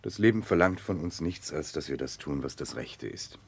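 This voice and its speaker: Deep, husky, idealistic nobleman. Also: animated, normal speed, heavily acted. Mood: quiet, resolute. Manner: earnest, insistent, declamatory. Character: remorseful, idealistic Russian nobleman, earnest and stubborn. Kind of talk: 1960s German radio drama acting. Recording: 1960s radio drama recording.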